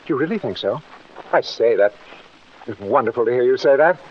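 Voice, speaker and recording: Man playing a flustered gentleman in noisy old radio drama. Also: mid-range voice, lively intonation, fairly quick, close to an everyday voice. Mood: earnest, yearning. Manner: stammering, blustering, genteel. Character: flustered, secretive, love-struck married gentleman doctor. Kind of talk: 1940s radio drama acting. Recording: dull, noisy 1940s radio recording.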